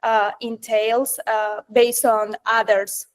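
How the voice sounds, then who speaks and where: Woman seminar presenter, higher-pitched, reflective, careful qualifications, thinking aloud. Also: level tone, slow. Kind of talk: reflective academic discussion.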